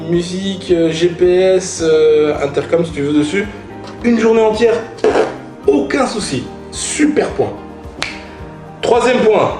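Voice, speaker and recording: A man's voice: fairly high pitch, a little mellow, roomy sound. A man chatting informally to viewers as he reviews gear, slangy and emphatic, repeating points for effect. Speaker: motorcycle vlogger reviewing gear. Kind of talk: casual product review talk to viewers.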